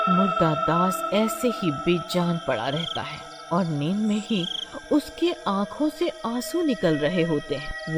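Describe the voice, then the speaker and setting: A woman narrating a scripted horror story in a dramatic storytelling manner. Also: lowish voice.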